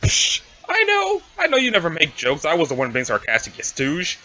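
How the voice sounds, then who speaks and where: A man acts out a brash female alien schemer in a comic drama reading. The voice is fairly high and animated, with cocky, sarcastic needling. It is recorded a little dully, like a home setup. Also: moderate pace, dull audio, full character voice. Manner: snarky, bossy, casual needling.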